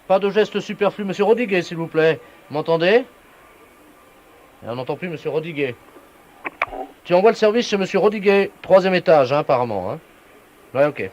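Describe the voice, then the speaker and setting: Male prankster as utility official, slightly high voice, deadpan, brisk, instructing, earnest, muffled lo-fi radio prank audio. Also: slight background noise. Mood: directing, official. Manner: businesslike, instructing, repeated tag questions. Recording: dull, lo-fi radio prank recording.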